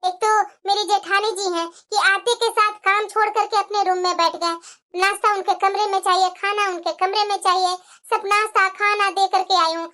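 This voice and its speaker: A woman's voice: very high voice, steady tone, moderate pace, sharp tone. A woman voicing an aggrieved, dutiful young daughter-in-law in a family cartoon drama, with a complaining, pleading manner. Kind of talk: Hindi family drama storytelling.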